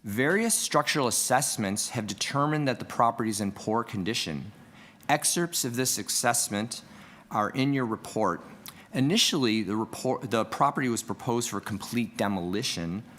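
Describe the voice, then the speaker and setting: A man from heritage planning staff presenting a report at a city board meeting, reading prepared remarks in a measured way. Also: medium pitch, expressive, fairly bright tone. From Canada. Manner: measured, reads prepared remarks, asks for next slide. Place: council meeting room.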